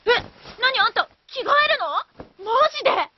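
A very high, lively-pitched young woman, hot-tempered and easily flustered. She speaks in exclamatory anime drama style over music, in slightly dull audio. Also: normal speed, slight background noise. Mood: indignant, baffled.

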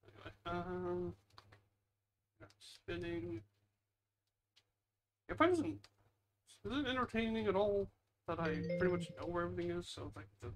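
Higher-voiced man rambling over gameplay. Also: fairly bright tone, slight background noise, poor audio. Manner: rambling, self-deprecating muttering. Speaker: gaming video host. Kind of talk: casual gameplay commentary.

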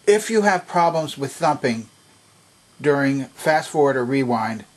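A man with a medium-pitched voice walks through a piece of vintage electronics in a hands-on demonstration. He is methodical and names each part and step clearly. He sounds like someone showing an item at a home workbench. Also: animated, measured pace.

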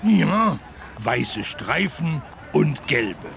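An actor playing a big, good-natured talking elephant with a gravelly, childlike, jolly way of speaking. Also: male voice, a little high, sing-song, extremely slow, fairly bright tone, full character voice. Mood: cheerful.

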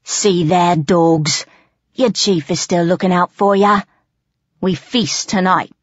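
A woman's voice: fairly low pitch, fairly even intonation, takes it slowly, no room sound, muffled recording. A woman voicing a menacing, gloating male goblin chief, a crude bully with rustic ungrammatical speech, in an audiobook. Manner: rustic ungrammatical dialect, snarling threats. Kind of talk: audiobook character voice.

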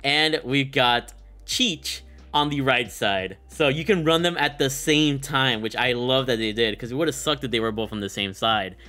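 A man's voice: medium pitch, moderate pace, pushed and bright. A young man hosting a gaming video with casual, hyped, slangy commentary. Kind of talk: casual commentary on a game showcase.

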